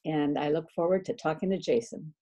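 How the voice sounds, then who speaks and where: A woman's voice: low voice, brisk, mellow. A woman hosting a podcast, upbeat and welcoming as she opens the show and interviews her guest. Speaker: podcast host. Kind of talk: scripted intro then interviewing.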